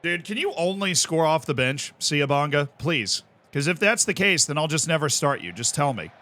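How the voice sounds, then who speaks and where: An American man live-streaming a game, commenting excitedly, shouting at big moments and cracking jokes in between. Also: on the high side, moderate pace. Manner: excitable, shouts at goals, jokey asides.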